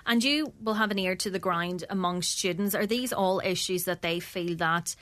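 A woman's voice: fairly low pitch, moderate pace, fairly bright tone. An Irish woman interviewing a guest for radio, asking questions in a conversational, prompting way.

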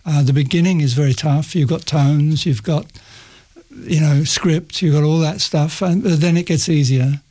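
A man's voice: on the high side, moderate pace, dark tone. A middle-aged man telling stories about his career as an interview guest in a loose, self-interrupting way, with frequent laughs. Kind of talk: casual conversational storytelling.

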